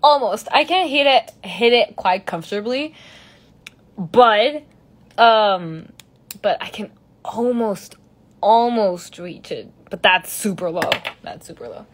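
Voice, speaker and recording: Giggly young woman, slow talk. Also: medium pitch. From Mexico. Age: young adult. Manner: giggly, chatty, switches between English and Spanish. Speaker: singer answering fans on a livestream. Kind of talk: casual livestream chat. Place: large indoor studio room. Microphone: phone camera at arm's length.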